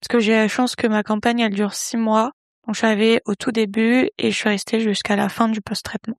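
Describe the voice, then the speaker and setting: A young woman speaks at a fairly high pitch for a woman, explaining her internship in a measured, orderly way. The sound is close and dry, with no room around it. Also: level tone, no room sound. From Paris region, France. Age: young adult. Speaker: engineering intern. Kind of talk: scripted self-presentation.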